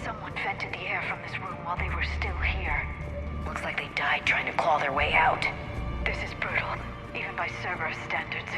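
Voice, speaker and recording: A man's voice: on the high side, steady tone, moderate pace, lightly acted. A man playing a grim soldier squadmate in a sci-fi video game. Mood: grim, alarmed. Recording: clear music underneath. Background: game music.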